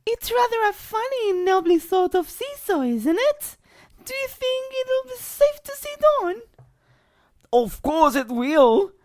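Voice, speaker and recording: A woman voicing a group of excited young children in a very high, squealing voice. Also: a little slow, heavily acted. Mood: curious.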